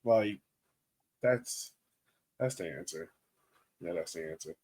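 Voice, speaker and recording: A man hosting a casual sports podcast, talking informally and thinking out loud as he goes. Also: low-pitched, slow pace. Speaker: podcast host.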